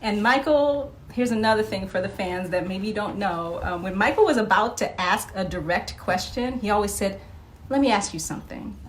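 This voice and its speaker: A woman, a podcast guest and author, telling stories in a casual interview, animated and laughing often, with many fillers. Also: mid-range voice, normal speed, slight background noise.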